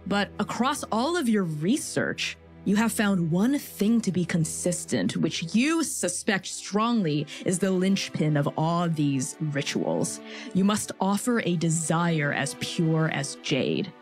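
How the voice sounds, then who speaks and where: A woman with a somewhat low voice tells tabletop game lore. Her delivery is measured and formal, like storytelling. Gentle music plays behind her. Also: big pitch swings. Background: background music.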